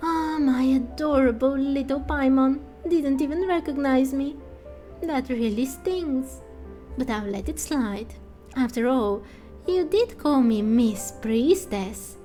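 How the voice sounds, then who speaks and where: Woman as a teasing priestess, mellow and unhurried. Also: sits high, faint music behind. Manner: playful, teasing. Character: teasing, self-assured priestess warrior woman.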